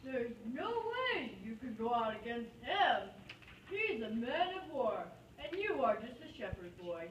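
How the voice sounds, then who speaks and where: A woman's voice: fairly high pitch, very animated, a little slow. A woman playing Bible characters such as soldiers and a shepherd boy in an amateur puppet show. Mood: doubtful. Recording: roomy, distant, dull, faintly noisy.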